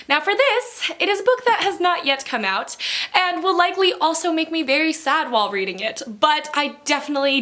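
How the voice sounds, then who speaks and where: A woman with a fairly high, very bright voice talks casually to her viewers like a book vlogger. She is excitable and gushing, leaning on words and repeating them for emphasis. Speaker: book vlogger, channel host. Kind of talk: casual chatty vlog to camera.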